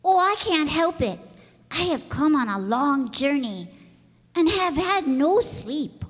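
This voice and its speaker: A woman's voice: sits high, very animated, slow, heavily acted. A woman playing an earnest, innocent, wistful young boy prince in a theatrical radio play. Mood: timid. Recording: echoey hall with music bed.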